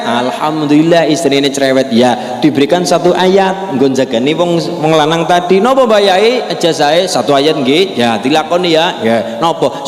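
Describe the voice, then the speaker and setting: A man with a Javanese accent giving a humorous sermon, telling jokes and acting out little dialogues. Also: a little high. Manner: jokey storyteller, acts out dialogues with voice shifts. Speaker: preacher. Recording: echoey hall with long reverb.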